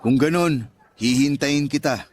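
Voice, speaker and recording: An actor playing a patient, sincere young suitor in a Filipino radio drama. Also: male voice, medium pitch, unhurried, close to an everyday voice. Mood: devoted.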